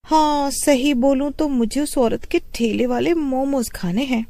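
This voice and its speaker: A woman voicing a young, curious, fixated wife in an animated horror story, musing and gently pleading. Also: high-pitched, a little slow, no room sound, voice pushed up. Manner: musing, questioning.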